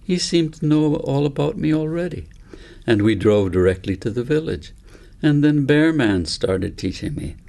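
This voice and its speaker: Storybook part: reflective big brother, pensive, low and creaky, played by a male reader. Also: darkish tone. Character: thoughtful older brother, reflective dream traveler. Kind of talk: storybook character reading.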